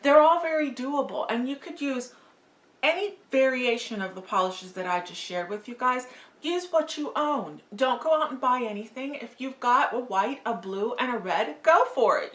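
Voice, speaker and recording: A woman's voice: fairly high pitch, animated, normal speed, a bit bright, echoey room. A woman talking casually through a nail polish tutorial, gushing and enthusiastic, with frequent fillers. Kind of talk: casual beauty tutorial talk.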